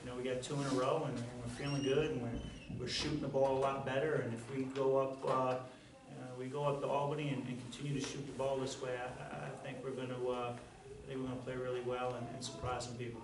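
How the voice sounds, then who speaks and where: A middle-aged man, a basketball coach, answering press questions in a halting way with many fillers and restarts. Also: mid-range voice, little pitch movement. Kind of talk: post-game press conference answers. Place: press conference room. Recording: roomy, distant, faint noise.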